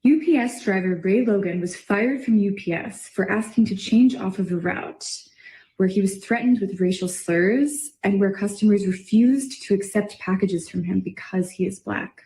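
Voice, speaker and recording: A woman reading a scripted news story in an earnest, steady way, played back as a clip. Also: mid-range voice, moderate pace, roomy sound, slight background noise, rough recording. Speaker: narrator of a social media news clip. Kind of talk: scripted narration.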